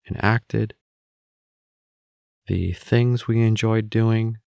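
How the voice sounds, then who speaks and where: A man leading a guided meditation as an instructor, speaking in measured short phrases with pauses between them. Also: lowish voice, creaky, darkish tone, no room sound, clean studio sound.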